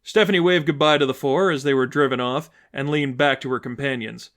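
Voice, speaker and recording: A man's voice: mid-range voice, fairly even intonation, brisk, fairly bright tone. A man reading as narrator, delivering scripted fiction in a storytelling style.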